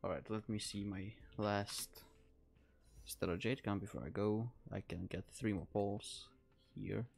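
A man's voice: on the low side, steady tone, measured pace, creaky, darkish tone. A man from the Czech Republic with a Czech accent, giving casual live game commentary and thinking aloud as he plays. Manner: rambling, thinking aloud while playing. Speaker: game streamer. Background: game music.

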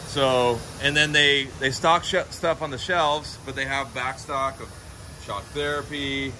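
A man's voice: mid-range voice, fairly even intonation, a little slow, pushed and bright. A man hosting a casual walkthrough of a parts warehouse and workshop, chatting eagerly as he points things out while filming. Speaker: host touring a shop. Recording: noisy shop recording.

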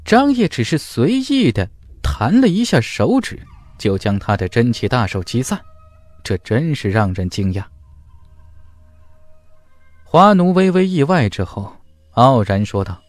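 A man's voice: mid-range voice, sing-song, unhurried, pauses often, no room sound. A man narrating a fantasy audiobook in a dramatic scripted storytelling style. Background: faint music.

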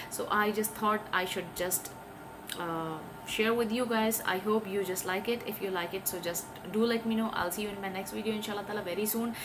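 Woman, earnest, over room hiss. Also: mid-range voice. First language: Urdu. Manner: earnest, hesitant, many filler sounds, gestures with hands. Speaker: English teaching vlogger. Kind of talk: talking to camera, giving tips. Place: small indoor room.

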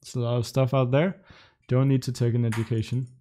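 A young man in his twenties from Denmark, a native Danish speaker, casually answering chat questions on a livestream from his room, relaxed and chatty with light laughs. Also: mid-range voice, a little fast, a little hiss or hum. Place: indoor home room in front of a webcam.

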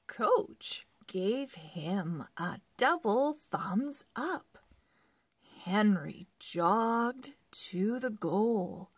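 A woman narrating a children's read-along book in a deliberate, expressive way, phrase by phrase. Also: mid-range voice, husky, no echo. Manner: deliberate, word-by-word phrasing with animated emphasis. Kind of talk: slow expressive read-aloud for early readers.

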